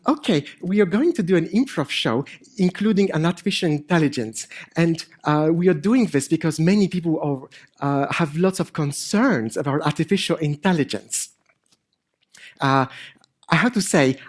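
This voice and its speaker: A middle-aged man with a French accent and a hint of Polish, giving an informal stage talk in a hesitant, deadpan joking way. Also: fairly high pitch. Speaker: conference speaker, AI researcher and improviser. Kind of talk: informal stage presentation with dry humour. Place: on stage at a conference.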